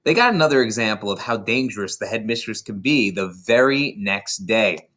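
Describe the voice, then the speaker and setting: A man narrating a children's book aloud in an expressive storytelling style. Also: on the low side, normal speed. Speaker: storybook reader. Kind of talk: animated children's audiobook narration.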